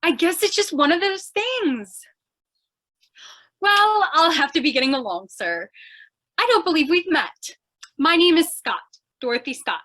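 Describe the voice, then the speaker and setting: A woman's voice: very high voice, expressive, unhurried, pushed and bright, exaggerated voice. A woman playing a plucky, scatterbrained young newspaper reporter in an old-time radio comedy mystery, flustered and eager. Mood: sheepish, chatty. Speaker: voice actor.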